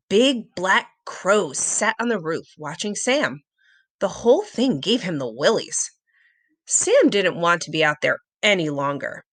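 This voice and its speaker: A woman narrating a children's story aloud in an expressive, dramatic storytelling manner. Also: fairly low pitch. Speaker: storybook reader.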